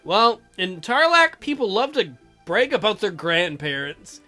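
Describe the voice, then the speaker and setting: A man gives wisecracking game commentary in a bright voice with animated intonation. His asides are sarcastic and joking, and soft music plays faintly behind him. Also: high-pitched, measured pace. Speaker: let's play host.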